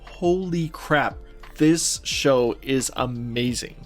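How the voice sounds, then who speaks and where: A man's voice: medium pitch, slow pace, soft background music. A man co-hosting a podcast, chatting casually and informally about films and games with gushing opinions. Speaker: podcast co-host.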